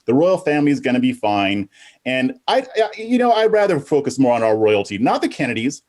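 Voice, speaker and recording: A man's voice: mid-range voice, sing-song, a little fast, darkish tone. A man giving casual opinions as a panelist, dismissive and joking, often stumbling into restarts.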